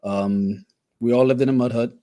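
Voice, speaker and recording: A man's voice: mid-range voice, steady tone, normal speed, dark tone. A man in his thirties, born in Haiti, candidly telling his life story as a podcast guest, reflective with wry humor. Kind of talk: personal storytelling in conversation.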